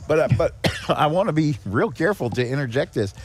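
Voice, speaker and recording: A man's voice: fairly high pitch, animated, fairly quick, slightly rough. A man speaking as a podcast guest, explaining things in a conversational way with rhetorical questions and frequent check-ins. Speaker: guest, executive director of a seniors nonprofit.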